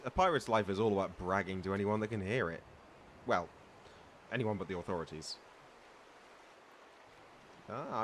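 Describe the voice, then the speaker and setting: A man's voice: low-pitched, slight background noise. A man playing a boastful, good-humored veteran pirate and sea-beast hunter in a storytelling roleplay audio drama. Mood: playful, reassuring, then mentoring, calm. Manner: bragging, teasing, theatrical storyteller with hesitant asides. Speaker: voice actor reading a script.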